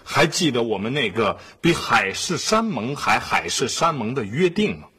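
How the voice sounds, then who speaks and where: A man playing a sardonic, excitable middle-aged husband and father in a family sitcom. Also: mid-range voice, normal speed, breathy edge, exaggerated voice. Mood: theatrical reading. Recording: faint background noise.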